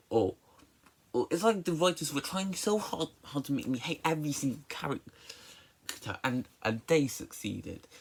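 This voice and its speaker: A casual reviewer delivers an opinionated monologue with emphatic exasperation. The speech stumbles often, with words and syllables repeated. The pitch is very animated, rising and falling widely. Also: breathy edge. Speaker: movie reviewer.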